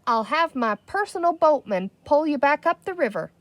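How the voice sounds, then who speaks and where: A woman voicing a pompous, self-important little green male chief in a storybook, with a grandiose, deliberate manner. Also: sits high, lively intonation, no room sound, pitched above the performer's own voice. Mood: earnest.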